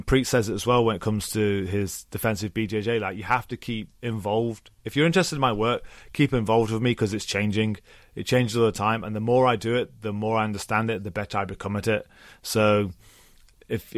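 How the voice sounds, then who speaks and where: A man's voice: lowish voice, no room sound. Chatty man in his thirties, dry sound. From Britain. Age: 30s. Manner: chatty, self-deprecating, swears casually, laughs often. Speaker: podcast host. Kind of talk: casual chat.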